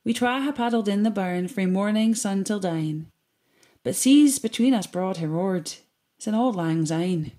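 A woman reading a scripted, reflective piece with poetry for a community gathering in a measured, earnest way. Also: medium pitch, dark tone.

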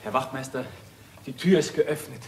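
A man's voice: mid-range voice, normal speed, natural voice. Bewildered watchmaker played by a man, roomy sound. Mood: nervous, deferential. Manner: deferential, bewildered. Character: puzzled, deferential watchmaker shop owner. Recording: roomy shop, faint ticking noise.

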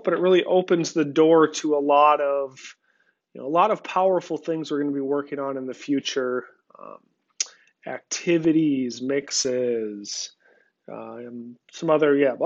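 Man, relaxed software demo, fairly high voice, lots of ums, muffled lo-fi mic. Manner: relaxed, conversational, frequent ums. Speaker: software company representative. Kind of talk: screen-recorded product demo.

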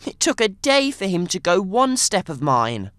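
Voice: mid-range voice, sing-song, normal speed, a bit bright, no echo. A performer as an eager young rabbit. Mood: indignant.

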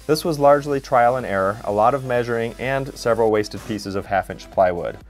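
A man's voice: medium pitch, brisk. A man in his thirties, host of a DIY channel, narrating his build in an upbeat, self-deprecating way. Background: faint background music.

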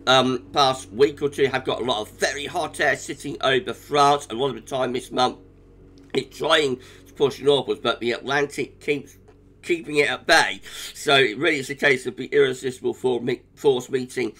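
A man with a British accent, croaky today, giving a chatty weather forecast over charts with frequent little laughs. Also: medium pitch, normal speed, fairly bright tone. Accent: British English. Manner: chatty, laughs often, restarts.

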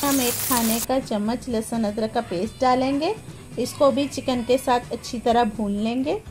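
A woman with a slightly high voice walks the viewer through a recipe from her home kitchen. Her delivery is plain and homely, with each step explained patiently. Soft music plays faintly under her voice. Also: fairly even intonation. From Pakistan. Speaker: cooking channel host. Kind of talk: step-by-step cooking instruction.

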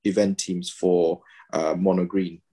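Guest, 30s man from Malaysia, very deep voice, halting delivery, video call. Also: level tone, mellow, husky. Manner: hesitant, many fillers and restarts. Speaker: guest, pediatrician and game player. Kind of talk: casual video-call interview. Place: home room, indoors.

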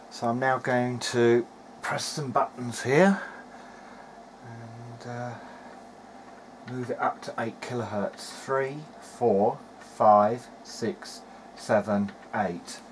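A man's voice: medium pitch, fairly even intonation, slow, a little hiss or hum. A man giving a casual technical narration of an electronics repair as he works, thinking aloud a little hesitantly. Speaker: hobbyist presenter of an electronics repair video diary.

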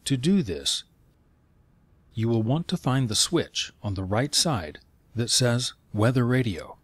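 A man narrating scripted instructions in clear, step-by-step phrasing. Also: mid-range voice, very animated, unhurried, no room sound.

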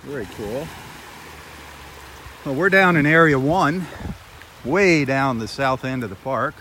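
Male outdoor vlog host, mid-pitched, easygoing and chatty, handheld phone audio with heavy water noise. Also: lively intonation, takes it slowly, pushed and bright, poor audio. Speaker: host of a live beach walk. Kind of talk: casual live nature vlog narration. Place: outdoors on a sandbar at a beach. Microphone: handheld phone camera.

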